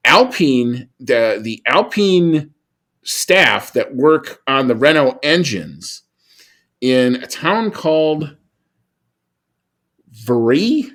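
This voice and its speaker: A man's voice: mid-range voice, sing-song, very slow, pauses often, fairly bright tone. Casual male podcast co-host. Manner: conversational, frequent restarts and asides. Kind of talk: casual chat.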